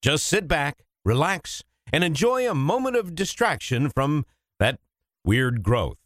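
A man's voice: on the high side, sing-song, unhurried, no room sound, clean studio sound. A man reading a scripted comic disclaimer for a show in a playful, mock-soothing way. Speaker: show announcer.

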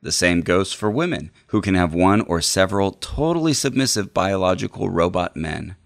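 Male podcast co-host for literary readings. Very low pitch, close dry sound, measured delivery. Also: lively intonation, creaky, no echo. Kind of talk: dramatic reading of book passages.

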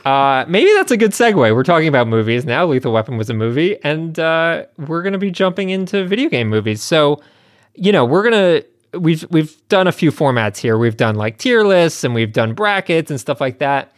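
A man's voice: fairly high pitch, sing-song, moderate pace, no room sound, clean studio sound. A man hosting a podcast in a casual, conversational way with informal asides. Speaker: podcast host.

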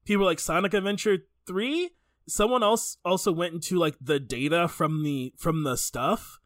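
A man's voice: high voice, moderate pace, a bit bright, no echo, clean studio sound. A man co-hosting a podcast, talking casually about video games in a rambling, stop-start way. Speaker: podcast co-host.

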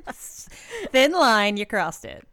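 A woman's voice: a little high, big pitch swings, slow, noisy background. A woman co-hosting a podcast, teasing and joking in casual chat. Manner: teasing, playful. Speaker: podcast co-host.

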